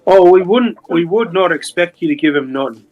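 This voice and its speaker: A man playing a tabletop game, talking in character in a persuasive, smooth way. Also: high voice, lively intonation, normal speed, narrow, tinny audio, faint music behind. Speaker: player. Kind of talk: in-character roleplay talk.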